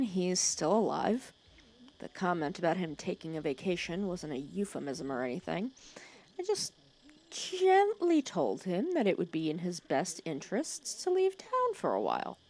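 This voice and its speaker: Woman acting a creaky-voiced, teasing, protective villainess. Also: fairly low pitch. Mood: dry menace. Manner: scolding yet caring, sarcastic, dropping into hushed breathy asides. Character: teasing, protective female villain nursing her sick hero nemesis. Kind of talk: ASMR roleplay audio drama.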